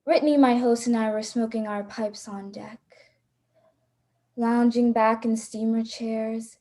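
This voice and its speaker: A woman playing a worldly, self-assured big-game hunter, telling the story in measured first-person radio drama narration. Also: a little high, steady tone, warm tone. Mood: reflective. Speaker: actor narrating a radio play.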